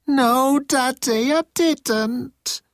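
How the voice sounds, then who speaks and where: A man's voice: extremely high pitch, extremely slow, fairly bright tone, no room sound, studio quality, pitched above the performer's own voice, exaggerated voice. A man voicing a timid young alien crewman, anxious and miserable, in an audiobook story. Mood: pleading. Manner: miserable, anxious, blurting protests.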